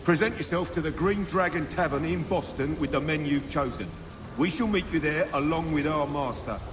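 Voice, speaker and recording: A man's voice: fairly high pitch, moderate pace, background noise, natural voice. An actor playing a cold man giving formal, clipped orders. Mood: commanding. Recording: distant, echoey, rain-soaked.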